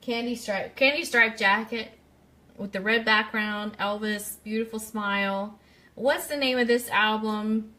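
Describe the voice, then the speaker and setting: A woman's voice: medium pitch, fairly even intonation, a little slow, pushed and bright. A woman hosting a casual live-streamed radio quiz, chatty and speaking directly to her listeners. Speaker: radio show host.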